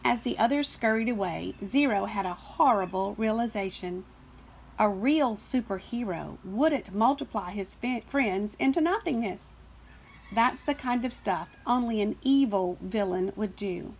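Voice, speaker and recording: A woman reading a children's picture book aloud as expressive scripted narration. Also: medium pitch, a little slow, vocal fry, slightly rough. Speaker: storybook reader.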